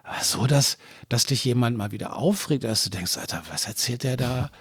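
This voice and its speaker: A man's voice: medium pitch, fairly quick, husky, dry recording. A man in a casual conversation, telling long, rambling anecdotes about touring with his band, full of restarts and small chuckles. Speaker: musician guest.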